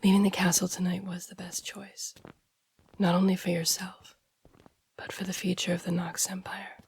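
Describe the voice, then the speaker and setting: Casting: actress as shadowy royal guard and assassin, very husky breathy whisper, low-pitched, deferential, courteous, intimate fantasy audio drama. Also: female voice, flat delivery, moderate pace. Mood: guarded, tender. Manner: formal, deferential, hushed speech. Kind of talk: intimate audio roleplay acting.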